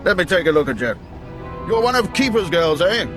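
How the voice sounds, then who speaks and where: An actor playing a wry, seasoned imperial station chief in a video game, speaking in a deliberate manner. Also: male voice, high voice, big pitch swings, music in the background. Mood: wry, scheming. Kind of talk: scripted video game dialogue.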